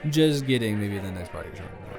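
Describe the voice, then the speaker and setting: A man's voice: lowish voice, animated, rapid-fire, gravelly. A man playing a tipsy, stubborn male roommate at a party, rambling and repeating himself in an improvised audio roleplay. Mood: chatty, rambling. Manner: rambling, tipsy, dragged-out and repetitive. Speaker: audio roleplay performer, channel creator.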